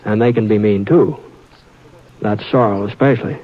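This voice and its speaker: An actor playing a calm, steady frontier rancher who narrates, speaking in a soothing drawl in a 1950s radio drama. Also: male voice, on the low side, moderate pace, natural voice. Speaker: narrator and lead. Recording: dull old radio broadcast.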